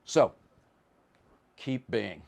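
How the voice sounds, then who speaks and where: Slow, deliberate man giving a lecture. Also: a little mellow. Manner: deliberate, halting, stressing key words. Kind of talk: philosophy lecture, informal explanatory.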